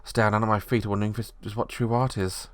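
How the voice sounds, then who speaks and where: Low-voiced man reading game narration. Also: quick pace, clean tone, no echo. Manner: steady reading with small slips. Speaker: narrator reading a visual novel.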